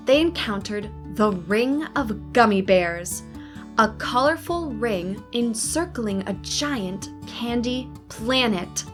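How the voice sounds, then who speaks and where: A woman narrating a children's storybook read-aloud as host, with expressive, deliberate phrasing for young listeners. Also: fairly high pitch. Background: faint music.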